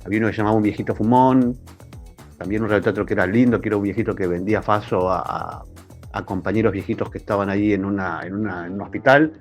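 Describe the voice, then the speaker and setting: Argentine guy chatting in an interview, talks fast, faint music. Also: fairly low pitch. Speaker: radio scriptwriter. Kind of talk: casual interview reminiscence.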